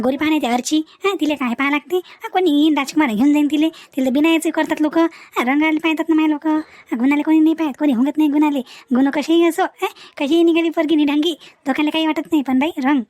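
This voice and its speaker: A woman plays a chatty village matchmaker aunt in a comedy cartoon. Her voice is high and quick. She pushes her point with homely, repetitive insistence. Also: steady tone, a little mellow, no echo, natural voice. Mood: scornful insistence. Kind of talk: Marathi comedy cartoon dialogue.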